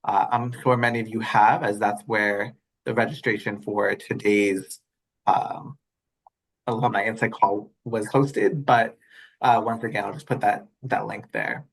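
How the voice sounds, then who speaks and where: A man's voice: lowish voice, steady tone, measured pace, creaky, husky, poor audio. A man hosting and moderating an online alumni call in a polite, formal way, with many small fillers, heard over a video call. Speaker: alumni relations director and host.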